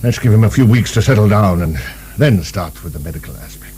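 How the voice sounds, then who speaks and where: An actor playing an urbane, wry asylum director speaking conversationally in a radio drama. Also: male voice, fairly low pitch, animated, brisk, darkish tone, close to an everyday voice. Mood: musing. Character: urbane, genial, musing male asylum director. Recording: 1990 radio broadcast, faint hum.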